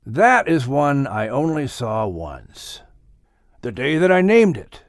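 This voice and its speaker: Man voicing a slow, very old patriarch. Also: medium pitch, big pitch swings, dry recording, studio quality. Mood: thoughtful. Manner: slow, deliberate, solemn. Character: very old, patient, reverent great-grandfather patriarch. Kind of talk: dramatized audiobook storytelling.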